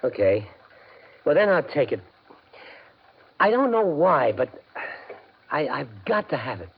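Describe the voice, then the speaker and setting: Desperate everyman gambler, anxious, halting, mid-pitched, 1950s radio drama, muffled recording. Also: big pitch swings, creaky, noisy background. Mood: uneasy, curious. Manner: anxious, halting, colloquial pleading. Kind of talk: 1950s radio suspense acting with narration.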